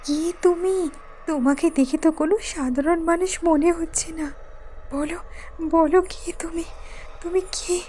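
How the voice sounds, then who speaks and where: A woman's voice: sits high, dark tone, a little hiss or hum. A woman voicing a timid, anxious young wife in a horror cartoon, pleading and repeating her questions. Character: timid, anxious young pregnant daughter-in-law.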